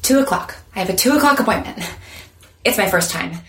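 A skittish young woman in her twenties, played by an actress, with a medium-pitched voice. She rambles, corrects herself and over-explains nervously, in a naturalistic audio drama style. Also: animated, fairly quick, a bit bright. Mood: nervous, flustered. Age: 20s.

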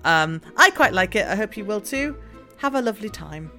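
A woman's voice: medium pitch, animated, normal speed, pushed and bright, faint music behind. A woman, a chat show host, giving a casual, informal plug for a podcast.

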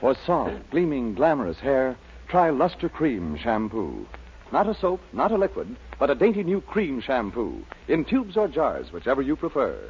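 A man's voice: medium pitch, wildly swooping pitch, normal speed, darkish tone, husky, muffled recording, faint music behind. A man reading a 1940s radio advertisement as a commercial announcer, on an old broadcast recording.

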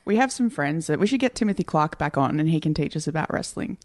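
A woman's voice: low voice, expressive, fast, darkish tone. A young woman co-hosting a podcast in casual chat, dry and teasing, with hesitant fillers. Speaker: podcast co-host.

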